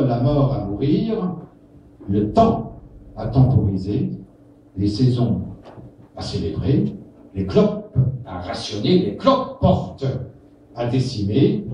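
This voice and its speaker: A man giving a live spoken-word poetry recitation in short, deliberate, incantatory phrases. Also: mid-range voice, mellow. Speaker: poetry reader. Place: indoor reading stage, echoey, distant from mic. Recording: echoey room, distant mic, muffled, faint noise.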